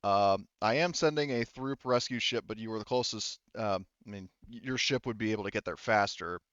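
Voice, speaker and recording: A man's voice: fairly low pitch, fairly quick, fairly bright tone, clear, resonant tone, no echo. Male tabletop game master, loose informal delivery, clean resonant voice, dry and a little muffled. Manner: informal, loosely phrased, profane. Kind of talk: narrating a tabletop role-playing game, casual.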